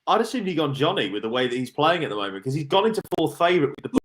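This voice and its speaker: A man's voice: fairly high pitch, big pitch swings, rapid-fire, very noisy. A man co-hosting a casual live sports chat show.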